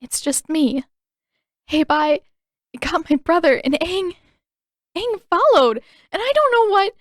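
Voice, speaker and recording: A woman's voice: extremely high pitch, animated, takes it slowly, a bit bright, dry recording, clean studio sound, pitched above the performer's own voice, heavily acted. A woman voicing a stubborn, distraught fourteen-year-old girl, emotional and insistent, in an audiobook story. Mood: tearful, distraught.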